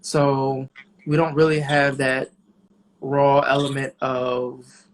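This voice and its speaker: Man, thirties, interview guest, relaxed, reflective, pauses often, mid pitch. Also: slight background noise, poor audio. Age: 30s. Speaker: jazz trumpeter and bandleader, interview guest. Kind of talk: casual interview chat.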